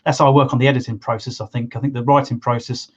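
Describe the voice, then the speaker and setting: A man's voice: mid-range voice, steady tone, rapid-fire, lo-fi sound. A man co-hosting a podcast, talking casually with lots of hesitations and restarts. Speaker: podcast co-host. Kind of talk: casual conversation.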